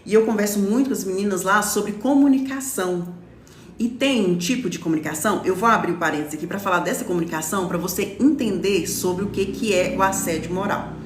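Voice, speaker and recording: A woman's voice: medium pitch, a little fast, roomy sound, slight background noise. A woman in her forties from Brazil, a lawyer hosting a live stream and explaining to her followers in a chatty way, often checking in with "tá?". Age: 40s. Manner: explanatory, stops often to read comments, says "tá?".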